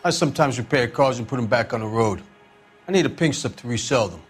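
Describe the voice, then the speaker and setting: A man's voice: mid-range voice, animated, fast talker, husky, faint music behind. An actor playing a defiant, evasive crooked mechanic in a video game, sarcastic and wheedling like a cornered wise guy. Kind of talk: cinematic video game acting.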